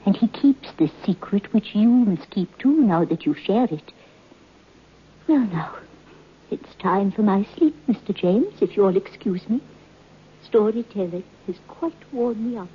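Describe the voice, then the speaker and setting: A woman's voice: medium pitch, expressive, a little slow, natural voice. A woman playing a knowing, confiding storyteller in an old-time radio drama. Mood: confiding, composed. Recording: muffled, faintly noisy old radio recording.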